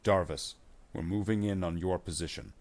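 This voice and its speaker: A man's voice: low-pitched, fairly even intonation, normal speed, a little mellow, natural voice. A man voicing an armored space marine soldier, terse and urgent, in a science fiction audiobook. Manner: urgent, terse military calling out.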